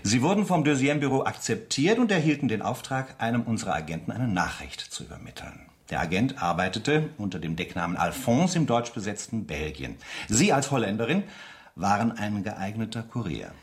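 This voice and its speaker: A man's voice: lowish voice, sing-song, fairly quick. An actor in a German radio play playing a stern military interrogator, formal, insistent and sardonic as he makes his accusations.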